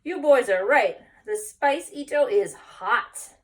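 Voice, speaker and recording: A woman voicing a bold, excitable little boy kitten in a storybook, with exclamatory, Spanish-sprinkled speech. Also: fairly high pitch, slow. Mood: breathless, eager.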